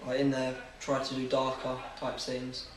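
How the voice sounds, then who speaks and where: Teen male interviewee, flat even delivery, halting with fillers; recorded far off in an echoey room. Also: mid-range voice. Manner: hesitant, filler-heavy. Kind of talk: casual answers.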